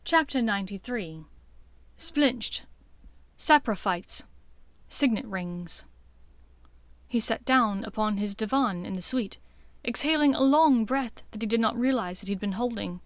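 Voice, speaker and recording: A woman narrates a story in a fairly high voice. Her delivery is scripted and dramatic, as in an audiobook, and the sound is dry and close. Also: animated, unhurried, no room sound.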